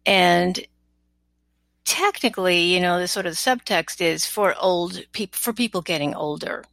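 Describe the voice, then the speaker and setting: Creaky-voiced older woman interviewing. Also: lowish voice, a little slow, fairly bright tone, no echo. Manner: tentative, rephrases questions mid-sentence. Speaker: podcast host, interviewer. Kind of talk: conversational interviewing.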